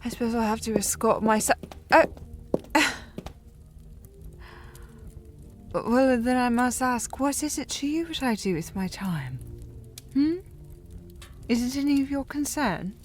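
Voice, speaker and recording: A woman playing a tipsy, haughty young noblewoman in a historical audio drama, teasing and rambling in a halting way. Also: fairly high pitch, expressive, faint music behind, lightly acted. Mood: playful, tipsy. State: playing slightly drunk. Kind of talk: scripted audio roleplay, period drama.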